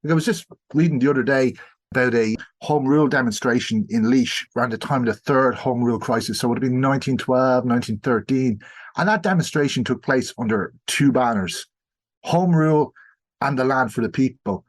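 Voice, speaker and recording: An Irish man from South Kildare speaking as a podcast guest, explaining things in a measured, academic way and rephrasing as he thinks aloud. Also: mid-range voice. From South Kildare, Ireland. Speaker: podcast guest, historian and sociologist. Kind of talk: explanatory interview talk.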